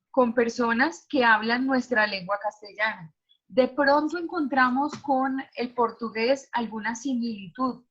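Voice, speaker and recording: A woman teacher giving a lecture to her students, explaining slowly with many examples and small fillers. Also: fairly high pitch, fairly bright tone, highs cut off.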